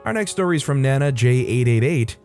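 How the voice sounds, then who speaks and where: A man's voice: medium pitch, quick pace. A young man casually narrating online stories, adding his own wry commentary between them. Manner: chatty, wry, animated asides. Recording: faint music behind.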